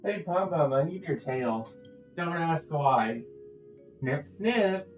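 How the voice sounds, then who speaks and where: Echoey game stream, goofy casual commentary, slow pace, middling androgynous pitch, joking. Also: expressive. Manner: goofy, playful. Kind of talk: casual gameplay commentary with jokes. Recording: echoey, muffled audio with faint music.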